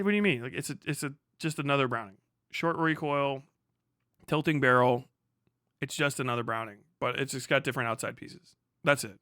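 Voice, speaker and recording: A man's voice: fairly high pitch, pushed and bright, dry recording, studio quality. A man hosting a livestream with casual, profane, blunt and sarcastic commentary. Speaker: livestream host.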